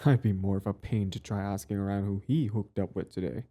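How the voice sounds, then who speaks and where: A man's voice: on the low side, fairly even intonation, a little fast, warm tone, natural voice. A man playing a cocky but easily flustered villain devoted to a date, in a casual, wry romantic audio roleplay. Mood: bitter, scheming. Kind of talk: one-sided romantic audio roleplay, conversational.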